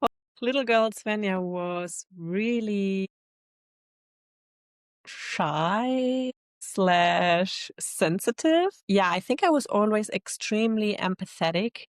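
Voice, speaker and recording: A woman, a guest in a casual interview, thoughtful and quick to laugh. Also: medium pitch, expressive, dry recording, clean studio sound. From Germany. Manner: laughs easily, thoughtful, reflective. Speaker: guest, LinkedIn expert.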